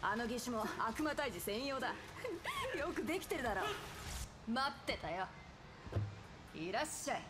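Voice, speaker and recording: Quick, bright-spirited female weapons crafter. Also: fairly high pitch, sing-song, very noisy. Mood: breezy, proud. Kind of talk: video game cutscene acting.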